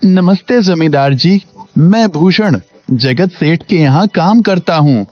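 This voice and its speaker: A man's voice: a little high, animated, takes it slowly, dry recording. Humble, earnest village servant played by a man, slightly muffled. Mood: humble, trusting. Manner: polite, earnest, deferential. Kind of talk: Hindi cartoon moral story dub. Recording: dull lo-fi audio with background music.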